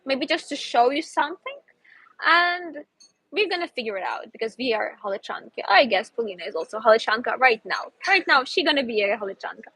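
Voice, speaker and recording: A woman's voice: fairly high pitch, expressive, brisk, fairly bright tone, husky, no echo, rough recording. A young woman with a Ukrainian accent hosting a casual outdoor live stream, chatty and upbeat, sometimes searching for English words. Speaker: host, English teacher. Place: outdoors in a city square.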